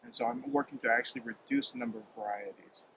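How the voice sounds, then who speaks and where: A man from Hawaii, a home fruit grower, talking in a halting, casual way, often repeating words and filling pauses with um, recorded outdoors in a yard. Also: medium pitch, huge pitch swings, vocal fry, noisy background. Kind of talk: casual interview.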